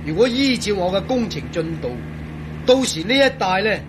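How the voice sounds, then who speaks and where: A man acting a proud, excitable engineer and grumbling companion in a radio drama, with a Hong Kong Cantonese accent. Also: high voice, moderate pace, background noise. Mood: boastful, eager.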